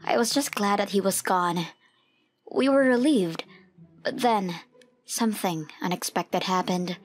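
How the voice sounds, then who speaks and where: A woman's voice: medium pitch, measured pace, natural voice. A woman playing an expectant mother who narrates her own past in a scripted comic dub, over music. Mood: recounting, troubled.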